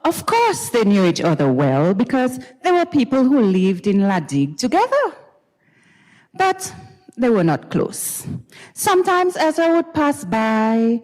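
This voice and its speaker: A Grenadian-accented woman narrates a story aloud in a reverberant recital hall. Her fairly high voice is very expressive and conversational, moving at an unhurried storyteller's gait. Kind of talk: dramatized storytelling reading.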